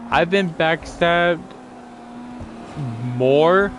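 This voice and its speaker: A man's voice: fairly high pitch, drawn-out words, a bit bright, soft background music. A man hosting a casual talk, retelling grievances in an aggrieved, repetitive way. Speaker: channel host. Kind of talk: casual complaint chat.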